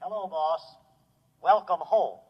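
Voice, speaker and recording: Through long reverb on a muffled vintage radio recording comes a robot leader, his voice a little high. He is loyal and militant, declaring himself earnestly in old radio drama style. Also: slow pace, slightly rough, exaggerated voice. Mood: loyal, assured.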